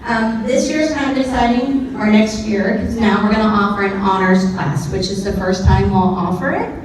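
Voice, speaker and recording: A woman with a loud, projecting voice, speaking informally as a teacher presenting to parents. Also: mid-range voice, fairly even intonation, normal speed, a crowd in the background. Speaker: middle school math teacher. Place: large echoing indoor room with ambient noise. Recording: echoey hall from far off, faint noise.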